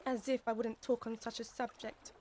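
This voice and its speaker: A woman plays a nervous little lady mouse in an audiobook drama. The voice is high, quivering and quick to take offence. Clear watery noise surrounds it. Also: little pitch movement, a little mellow, close to an everyday voice. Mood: offended, trembling. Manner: trembling, indignant delivery. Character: small, nervous, easily offended female mouse. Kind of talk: full-cast audiobook acting.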